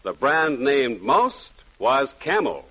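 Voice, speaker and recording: A man's voice: medium pitch, big pitch swings, takes it slowly, fairly bright tone. A man reading a scripted 1940s radio advertisement with persuasive emphasis. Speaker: radio commercial announcer. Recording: muffled 1940s radio broadcast.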